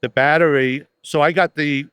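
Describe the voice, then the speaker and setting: A man with a loud voice, chatting casually as a video creator interviewing at a trade show. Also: on the high side, fairly even intonation, smooth, dry recording. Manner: loud, chatty, asks quick questions. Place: busy trade show floor.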